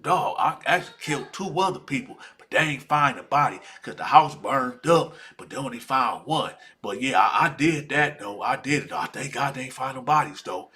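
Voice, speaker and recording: A man's voice: medium pitch, animated, normal speed, few pauses, pushed and bright, pitched below the performer's own voice. A man voicing a boastful 63-year-old prison inmate who has been locked up for decades, drawling and tacking "man" onto every phrase. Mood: confiding.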